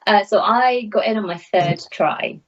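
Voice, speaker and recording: A woman's voice: mid-range voice, measured pace. A woman in her thirties giving candid, self-deprecating, conversational answers as an interview guest from a small room at home. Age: 30s. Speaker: air traffic controller, interview guest. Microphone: video call, laptop at arm's length. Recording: slightly dull video-call audio.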